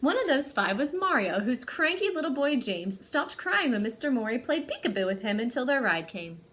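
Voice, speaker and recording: A woman with a fairly high voice hosts a storytime for young children. Her delivery is highly expressive and melodic, rising and falling widely as she talks to her small listeners and reads to them. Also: normal speed, slight background noise. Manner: animated, addresses young children directly. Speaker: library storytime host.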